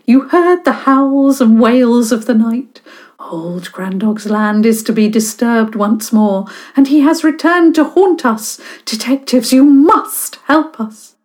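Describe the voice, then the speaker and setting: A woman's voice: fairly high pitch, slow pace, a little mellow, voice pushed up. A woman voicing a dramatic village woman who tells a ghost story with theatrical flourish.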